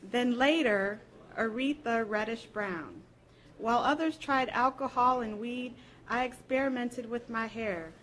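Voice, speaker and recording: A woman giving a dramatic first-person literary reading aloud, with an expressive, theatrical delivery. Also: on the high side, measured pace, low-quality recording. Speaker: reader at a library program.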